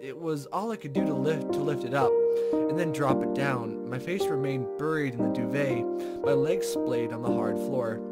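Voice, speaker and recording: A woman voicing a weary, put-upon caretaker who narrates a visual novel in a deadpan, theatrical way over piano music. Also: very deep voice, moderate pace. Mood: weary, gloomy. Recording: loud piano music behind.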